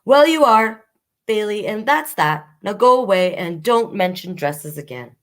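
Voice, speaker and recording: A woman's voice: medium pitch, animated, unhurried, pitched above the performer's own voice, natural voice. A woman voicing a stern, dismissive storybook mother who scolds her child curtly. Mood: scolding. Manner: curt, scolding. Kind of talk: picture-book character voice.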